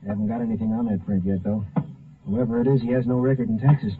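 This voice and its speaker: A man's voice: lowish voice, steady tone, fast, breathy edge. An actor playing a plain-spoken lawman helping on a case in a 1950s radio drama. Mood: matter-of-fact. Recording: muffled vintage radio recording.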